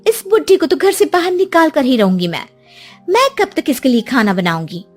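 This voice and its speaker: A woman voicing a spiteful, scheming young daughter-in-law in a cartoon story, with a shrill, scornful manner. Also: very animated, a little fast, soft background music. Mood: scornful, complaining.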